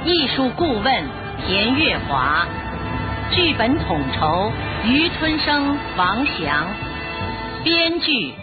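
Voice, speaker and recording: A woman announcing a radio drama in a formal, measured, declamatory scripted style with careful articulation. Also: a little high, sing-song. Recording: muffled lo-fi broadcast audio. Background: music.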